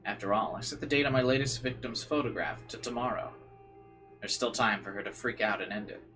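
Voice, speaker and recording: A man's voice: mid-range voice, brisk, sharp tone, faint music behind. A man reading visual novel narration aloud as a streamer, dropping into informal side comments and occasionally stumbling over words.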